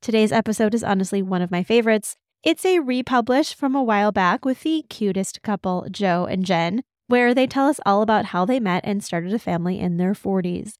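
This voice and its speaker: A woman's voice: mid-range voice, lively intonation, brisk, few pauses, darkish tone, no echo, studio quality. A woman hosting a podcast as a midlife change coach, reading an upbeat scripted intro and then chatting casually with guests.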